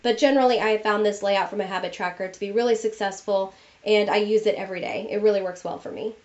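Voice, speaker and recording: A woman's voice: mid-range voice, steady tone, fast talker, darkish tone. A young woman narrating a journal-planning voice-over in a chatty, friendly way while she gets over a cold. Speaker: bullet journal vlogger. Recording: dull lo-fi voice-over with music behind.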